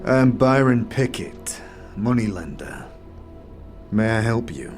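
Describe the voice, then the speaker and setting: Casting: male actor as smug, calculating fantasy-game moneylender; low register, sneering condescension, lines drawn out with relish. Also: expressive, a little mellow, soft background music. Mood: businesslike. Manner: condescending, drawn-out, measured delivery. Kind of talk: fantasy game audio series acting.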